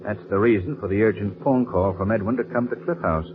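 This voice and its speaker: A man acting a calm, shrewd American gentleman sleuth in a 1940s radio mystery, measured and reassuring. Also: fairly low pitch, lightly acted. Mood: thoughtful, measured. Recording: muffled, faintly noisy 1940s radio recording.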